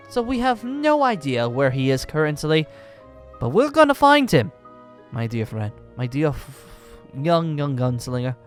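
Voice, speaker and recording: A man playing a boastful, jovial ancient dragon slayer wizard in a theatrical, formal manner for an improvised Minecraft roleplay. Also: fairly high pitch. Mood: resolute. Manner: theatrical, grandly formal, chuckling. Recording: background music under the voice.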